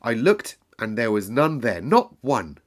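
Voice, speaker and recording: A man's voice: medium pitch, sing-song, unhurried. A man voicing a fiercely loyal, indignant and insistent young girl in a storybook reading. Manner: urgent, insistent.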